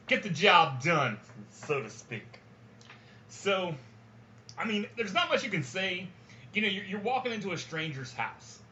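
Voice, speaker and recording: A man hosts his own podcast, spinning a rambling, crude story and breaking into laughter along the way. His voice sits a little high and has a bright, forceful edge. The recording is slightly dull, with a faint hiss underneath. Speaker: podcast host. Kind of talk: casual storytelling monologue.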